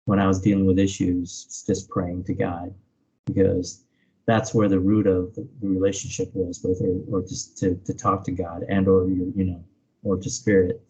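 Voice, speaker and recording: A man's voice: sits low, level tone, normal speed, mellow. A man speaking reflectively in an interview over a video call, searching for his words and often checking in with "right?". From born in Vietnam, grew up in America from age five. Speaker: interview guest, spiritual practitioner. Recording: dull, lo-fi online call audio.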